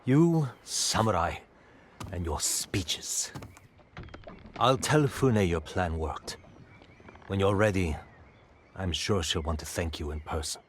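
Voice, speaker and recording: An actor playing a bitter, wary raider in a dramatic video game, with a gruff, sardonic, blunt manner. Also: male voice, low-pitched, animated, takes it slowly, pauses often, slight background noise. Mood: wry.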